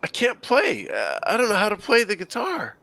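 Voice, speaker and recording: A man's voice: high voice, wildly swooping pitch, normal speed, gravelly, sharp tone, no echo, pitched above the performer's own voice, exaggerated voice. A man reading the part of an eager, awkward teenage guitarist at a film script table read. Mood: weak, panicked.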